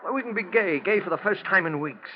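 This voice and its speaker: An actor playing a fearful, lovestruck plantation owner in a 1940s radio drama, speaking in a halting, agitated, melodramatic way. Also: male voice, high voice, close to an everyday voice. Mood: pleading. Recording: muffled, noisy 1940s radio recording.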